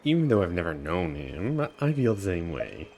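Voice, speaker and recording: A woman's voice: a bass voice, big pitch swings, moderate pace, slight background noise. A woman giving casual commentary while playing a video game and reading out dialogue choices. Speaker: gamer doing a playthrough. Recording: loud game music behind.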